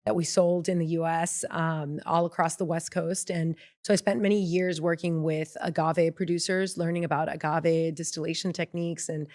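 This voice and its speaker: Woman, from Mexico, low-ish voice, relaxed interview talk, frequent fillers. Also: normal speed, hardly any pauses. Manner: relaxed, chatty, frequent ums and restarts. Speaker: guest, beverage brand founder. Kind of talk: casual interview.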